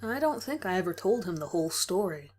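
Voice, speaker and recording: Female horror narrator, lower register, dramatic first-person scripted read, faint music underneath. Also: big pitch swings, a little fast, a little mellow. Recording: music behind the voice.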